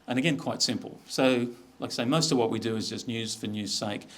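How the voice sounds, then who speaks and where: A man's voice: medium pitch, brisk, fairly bright tone. A middle-aged Australian man giving an informal business presentation in a conversational, explanatory way. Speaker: media executive presenting.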